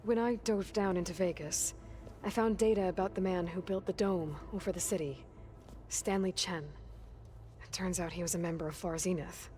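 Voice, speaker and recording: A woman's voice: fairly low pitch, steady tone, normal speed, warm tone, a little hiss or hum, close to an everyday voice. An actress playing an earnest, inquisitive young woman adventurer in a video game, asking questions in a matter-of-fact way. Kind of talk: video game cutscene dialogue. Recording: game music behind the voice. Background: music.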